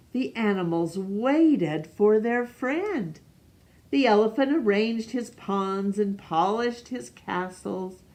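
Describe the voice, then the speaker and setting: A woman reading a picture book aloud to young children as a storyteller, expressively and with pauses for questions. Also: mid-range voice, darkish tone.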